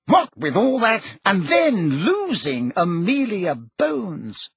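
A man's voice: high-pitched, wildly swooping pitch, takes it slowly, gravelly, breathy edge, no echo, lo-fi sound, voice pushed up, heavily acted. A man voicing a flustered, defensive older wizard minister in an audiobook, speaking with agitated urgency. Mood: miserable, anxious. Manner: agitated, urgent, self-justifying. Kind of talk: audiobook character acting.

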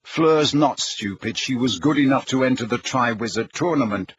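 A man's voice: medium pitch, moderate pace, breathy edge, dull audio. A man voicing a curious, hesitant teenage boy with a questioning manner in an audiobook story.

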